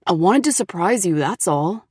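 A woman's voice: lowish voice, animated, moderate pace, darkish tone, breathy edge, no room sound, studio quality. A woman voicing a casual, teasing teenage boyfriend in an audiobook story. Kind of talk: audiobook character dialogue.

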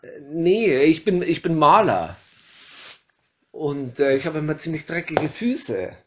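A man's voice: a little high, animated, measured pace, background noise. A man playing a bumbling, hesitant house painter in an amateur comedy radio play, stammering with many fillers.

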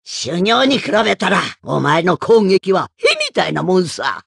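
A woman voicing a gruff, stern old female martial arts master in a video game, with a raspy voice and blunt, old-fashioned phrasing. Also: fairly low pitch, very animated, unhurried, no room sound, clean studio sound, exaggerated voice. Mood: stern, scolding. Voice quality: gravelly, raspy. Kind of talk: video game character voice lines.

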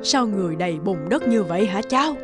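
A woman voicing a loving, poor old grandmother in a cartoon, gentle and consoling. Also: medium pitch, animated, fast talker, over loud music, lightly acted. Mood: tender concern.

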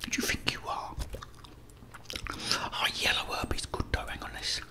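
A middle-aged man whispering breathy ASMR commentary as he plays a video game, chatting playfully to the characters. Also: normal speed.

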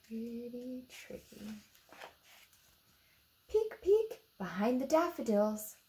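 Animated American woman reading to kids. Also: on the high side, takes it slowly, a little hiss or hum, rough recording. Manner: expressive, simple questions addressed to young listeners. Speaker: storytime reader for children. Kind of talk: animated children's picture book read-aloud with asides. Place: indoor room beside a book display.

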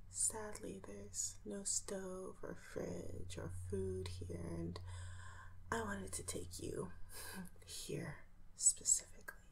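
A woman playing an obsessive, menacing, sickly sweet ex-girlfriend in a breathy, half-whispered ASMR roleplay, taunting her captive with pauses and hums. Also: medium pitch, a little mellow, low-quality recording.

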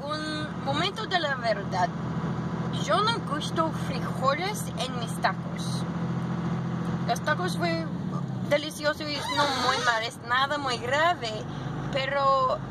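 A young woman, a native English speaker, vlogging slowly and haltingly in learner Spanish while holding her camera at arm's length in a car and in shops. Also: high-pitched, lively intonation, very noisy. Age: young adult. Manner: slow and halting, with many fillers. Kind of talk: casual vlog in learner Spanish. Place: car, restaurant, parking lot and grocery stores. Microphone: handheld camera at arm's length.

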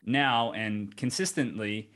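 A young Australian man hosting a podcast over a video call, talking in a relaxed, encouraging way. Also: lowish voice, slow pace. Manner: relaxed, encouraging, "you know" fillers. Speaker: podcast host. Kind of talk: casual video-call interview. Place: home office, indoors.

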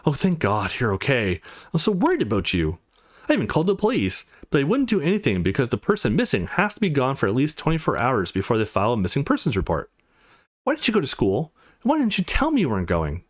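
A man's voice: medium pitch, wildly swooping pitch, fast talker. A man voicing a worried, caring, motherly woman in a visual novel, speaking earnestly and affectionately. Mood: relieved, worried.

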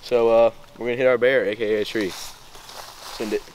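A man's voice: medium pitch, little pitch movement, brisk, darkish tone, low-quality recording. A young man giving a casual how-to explanation as a student, recorded by a camera in the woods. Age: young adult. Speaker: student demonstrating a homemade project. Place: outdoors in woods. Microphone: camera a few metres away. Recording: faint outdoor noise, camera mic.

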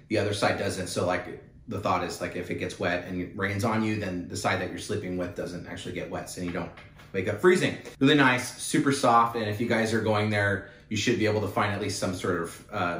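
A man's voice: lowish voice, a bit bright. A young man hosting a casual, upbeat product haul vlog, with plenty of fillers. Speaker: channel host. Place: indoor room with wood-panel wall. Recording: roomy echo, mic at a distance.

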